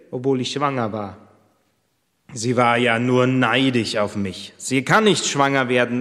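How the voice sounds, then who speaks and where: Distressed pregnant servant woman as a preacher puts her on, heartfelt first-person telling, unhurried, long church echo. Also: mid-range voice, fairly bright tone, lightly acted. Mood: aggrieved. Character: pregnant young female servant, desperate.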